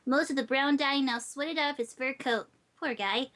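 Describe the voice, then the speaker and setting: A woman's voice: high-pitched, moderate pace, a bit bright. A woman narrating a fan story aloud in an amateur podcast reading.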